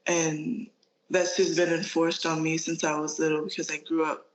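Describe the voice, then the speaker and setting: A man's voice: fairly high pitch, flat delivery, moderate pace, poor audio. An eighteen-year-old man from Florida, a podcast guest, answering casually and unhurriedly with many "like"s. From Florida, United States. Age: teens.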